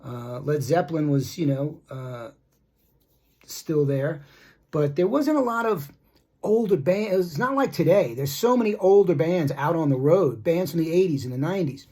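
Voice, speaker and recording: A middle-aged man giving a casual opinion monologue as a rock music commentator, blunt and critical, rambling with halting, repeated starts. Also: high voice, a little mellow. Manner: rambling, repetitive, halting asides.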